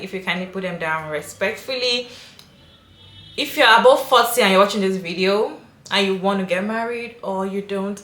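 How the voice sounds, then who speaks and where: A woman's voice: mid-range voice, fairly bright tone, reverberant. A woman hosting a video, giving her opinion emphatically and asking viewers questions. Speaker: video host and commentator. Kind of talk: casual commentary to viewers.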